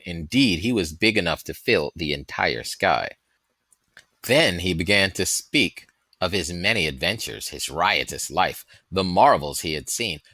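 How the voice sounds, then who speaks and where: A man narrates a story in a very low voice with a crisp, forceful edge. His reading is dramatic and deliberately phrased, recorded dry without room echo. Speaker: audiobook reader. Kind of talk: expressive scripted story narration.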